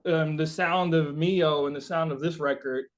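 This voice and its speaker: A man with a fairly high voice talks casually as an interviewed musician. He thinks aloud, stopping and starting as he works toward his point, and leans often on the word "like". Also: fairly even intonation. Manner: halting, thinking aloud, frequent "like".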